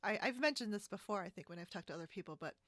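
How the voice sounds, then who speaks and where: An American woman chatting casually as a podcast host, with a halting, wandering delivery. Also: medium pitch, expressive, fairly bright tone, no room sound, studio quality. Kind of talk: casual interview chat about a hobby. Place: remote split-screen video call.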